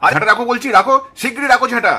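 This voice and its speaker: A man voicing an alarmed village husband, urgently admonishing and pleading for calm in a puppet cartoon. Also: very high-pitched, very fast, slightly rough, full character voice. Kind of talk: puppet cartoon family drama acting.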